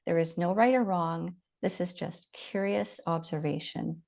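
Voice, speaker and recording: Low-pitched woman guiding meditation, slightly creaky. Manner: gentle, unhurried instruction with long pauses. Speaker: meditation guide. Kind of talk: guided body scan meditation.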